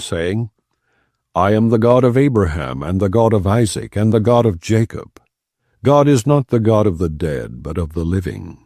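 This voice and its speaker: A man giving a solemn, measured, non-dramatized reading of scripture from the Bible. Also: fairly low pitch, darkish tone, no echo, studio quality. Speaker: Bible reader.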